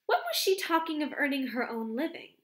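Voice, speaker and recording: Polite, gently ironic young wife, lilting tone; read by a woman. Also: sits high, big pitch swings, brisk, voice pushed up. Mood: mild. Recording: roomy echo.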